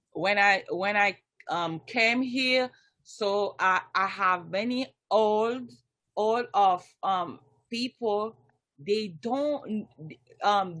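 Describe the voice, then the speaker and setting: Slow, halting woman with Haitian Creole accent. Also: mid-range voice, a bit bright. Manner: halting, many ums and restarts. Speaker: community outreach volunteer. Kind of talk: online group meeting.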